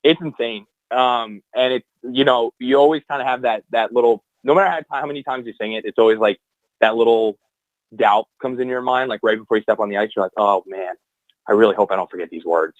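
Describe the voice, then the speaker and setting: A man's voice: mid-range voice, a little fast, no room sound. A young man talks loosely and at length, circling back with ums and restarts as he tells his story. His voice sits mid-range and comes through dull and muffled, a lo-fi remote feed. The sound is close and dry. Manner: rambling, many fillers and restarts. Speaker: guest, hockey anthem singer. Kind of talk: casual podcast chat.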